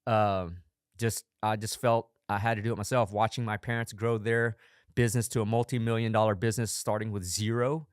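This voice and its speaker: A man's voice: lowish voice, flat delivery, fairly quick, clear, resonant tone, no echo. A man in his forties hosting a podcast in a studio, telling stories with upbeat enthusiasm in a motivational monologue. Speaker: podcast host.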